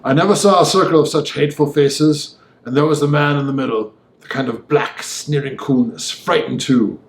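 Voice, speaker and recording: A man reading as a gentleman who recounts a disturbing tale in vivid, old-fashioned, emphatic speech. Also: fairly high pitch, moderate pace, reverberant, close to an everyday voice. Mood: indignant. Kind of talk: audiobook dialogue monologue.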